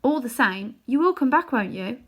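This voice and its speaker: A woman's voice: a little high, animated, normal speed, breathy edge, pitched above the performer's own voice. A woman reading aloud, voicing an anxious young boy who pleads and protests. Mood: pleading indignant. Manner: pleading, exasperated.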